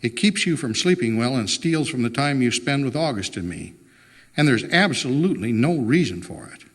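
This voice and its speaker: A man's voice: medium pitch, animated, normal speed, lightly acted. Man reading as an insistent woman pastor, echoing hall. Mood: earnest. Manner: firm, insistent. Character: earnest, insistent woman pastor pressing her partner. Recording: reverberant hall with long echo.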